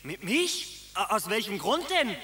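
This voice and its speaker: Sly clever dream reader, radio play, bright high voice, echoey room. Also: male voice, very animated, faint music behind, heavily acted. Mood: indignant. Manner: brisk, scheming, indignant. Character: clever honest male dream interpreter. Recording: roomy echo.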